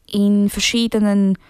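A woman speaks at a very slow, searching pace, pausing on fillers and starting her sentences again. Her voice is smooth and slightly high. The sound is dry and close. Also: a little mellow, no echo. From Switzerland and Brazil. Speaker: blind speaker sharing her experiences. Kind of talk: casual spoken account.

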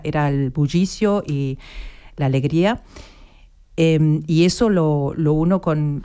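A woman's voice: low-pitched, dark tone, clean tone. A woman in her fifties with an Argentine accent, a guest on a radio interview, talking reflectively and searching for her words. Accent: Argentine Spanish.

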